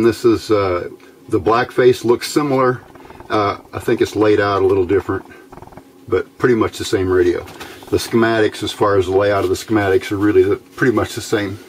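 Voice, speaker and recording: An American man giving a casual, unscripted hands-on demonstration, thinking aloud in a halting way as he goes. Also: lowish voice, poor audio. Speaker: hobbyist radio builder presenting a how-to video. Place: small indoor workbench. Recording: noticeable background noise.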